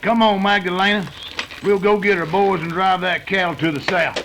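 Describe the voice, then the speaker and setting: A man's voice: sits high, fairly even intonation, moderate pace, a bit bright, faint music behind. A man with an American accent playing a gloating, drawling outlaw boss in an old-time radio western. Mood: wary, commanding.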